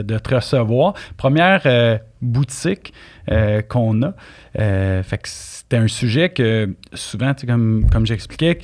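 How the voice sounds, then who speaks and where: A man with a Québécois French accent, hosting a podcast interview in a chatty, relaxed way, often laughing and cutting in with questions. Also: medium pitch. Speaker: podcast host. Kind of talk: casual interview chat.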